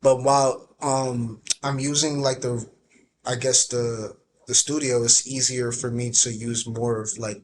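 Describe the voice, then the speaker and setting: A young man talking casually as a podcast guest, with a soft voice and a relaxed, rambling way of speaking full of fillers. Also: medium pitch, a little slow, slight background noise.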